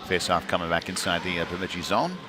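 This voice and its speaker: A man giving live play-by-play of youth hockey in a conversational, folksy manner, with pauses between calls. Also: deep voice. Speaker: play-by-play commentator. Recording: noisy live rink feed.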